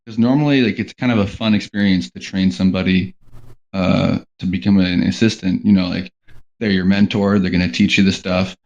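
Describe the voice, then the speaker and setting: A man's voice: low-pitched, steady tone, a little fast, a little mellow. A man talking casually on a podcast panel about working in animation, rambling with lots of "like" and "um". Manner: rambling, heavy on "like" and "um" fillers. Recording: dull lo-fi sound.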